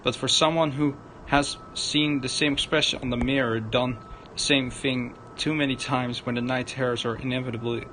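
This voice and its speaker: A man narrating a visual novel aloud on a livestream, dramatic but halting, stumbling over the written words. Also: fairly even intonation, pushed and bright. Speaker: streamer, narrator. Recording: noisy room with low rumble.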